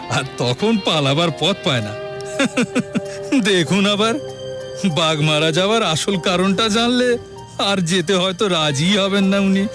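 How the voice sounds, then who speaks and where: A man's voice: high-pitched, takes it slowly, long pauses, music in the background. A man acting a boastful, goading hunter-author in an audio drama, speaking Kolkata Bengali with an emphatic delivery and scoffing chuckles. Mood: mocking. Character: boastful, needling hunter-author, married man, sceptical of mediums.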